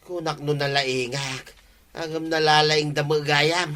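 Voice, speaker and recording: A sheepish, bright-voiced Ilocano thief. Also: male voice, a little high, level tone, a little slow. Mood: apologetic, pleading. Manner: hesitant, pleading, excuse-making. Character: sheepish male petty thief caught stealing ducks, making excuses. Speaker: radio drama actor. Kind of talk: Ilocano radio comedy drama acting.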